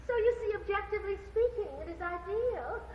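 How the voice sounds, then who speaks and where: A woman's voice: very high-pitched, fairly quick, dark tone, a little hiss or hum. A gushing pretentious widow landlady. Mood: gushing, flirtatious. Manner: affected, chattering, giggly, drops French phrases. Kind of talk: stage musical, singing and acted dialogue. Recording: live stage soundboard, echoey theater hall.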